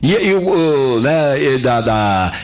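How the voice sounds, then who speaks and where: A husky-voiced southern Brazilian man chatting casually on air as a host, rambling and halting with repeated words. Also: medium pitch, sing-song. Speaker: radio host.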